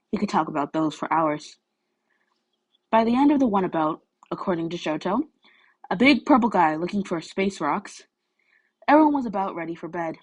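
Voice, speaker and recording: A woman tells a piece of fan fiction aloud. Her voice sits a little low for a woman, and she reads as an expressive amateur storyteller. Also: husky, poor audio.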